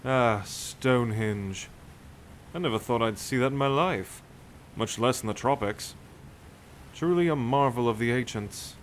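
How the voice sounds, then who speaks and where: A man's voice: medium pitch, a little slow, slight background noise, close to an everyday voice. A man playing a dry, learned, skeptical sailor who teases his shipmates in a nautical audio drama. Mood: dry, teasing. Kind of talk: cinematic audio roleplay, nautical fantasy.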